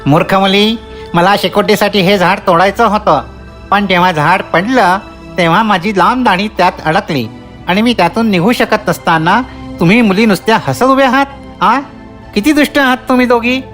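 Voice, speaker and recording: A woman's voice: mid-range voice, moderate pace, fairly bright tone, faint music behind, natural voice. A woman voicing a rude, grumpy little bearded male dwarf in a children's cartoon story, with a blustering, indignant manner. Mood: irritated, scolding.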